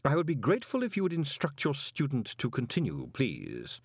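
A man voicing a calm, commanding ruler who speaks in a measured, quietly menacing tone. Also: mid-range voice, slightly rough, dry recording, studio quality, voice pushed up, heavily acted. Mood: cold.

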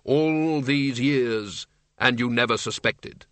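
Audiobook reaper played by a man: skeletal, unhurried, terse, gravely dry, very measured delivery. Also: medium pitch, fairly bright tone, no echo. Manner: slow, deliberate, terse. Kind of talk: audiobook character voice.

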